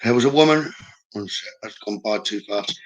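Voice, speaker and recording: A man hosting a live psychic reading stream, talking informally to viewers and thinking aloud in a halting way as he reads comments. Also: fairly low pitch, lo-fi sound.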